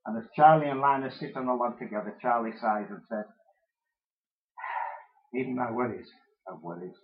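A man preaching a sermon, hoarse and losing his voice, clearing his throat often. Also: medium pitch, moderate pace. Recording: muffled phone-quality recording.

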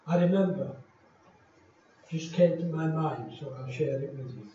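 An older man from England, a retired pastor, preaching in a slow, deliberate and emphatic way with many pauses. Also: a little high, mellow. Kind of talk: sermon. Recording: echoey hall from far off, dull lo-fi.

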